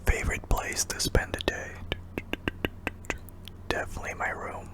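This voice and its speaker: A man's voice: normal speed, bright, forceful tone. A young man from Indonesia, whispering hesitantly with many ums while answering viewer questions in an ASMR video. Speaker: ASMR channel host.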